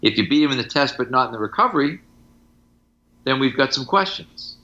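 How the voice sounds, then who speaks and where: A man's voice: medium pitch, expressive, fairly quick, sharp tone, lo-fi sound. A middle-aged man, a strength coach, talking casually on a podcast in anecdotes and examples, with firm opinions.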